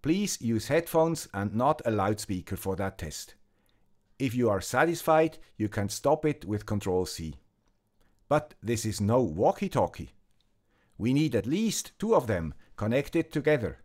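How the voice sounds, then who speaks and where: A man with a Swiss German accent calmly narrating a scripted electronics tutorial, explaining each step in order. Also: medium pitch, measured pace. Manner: calm, methodical, step-by-step explaining. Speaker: electronics video host.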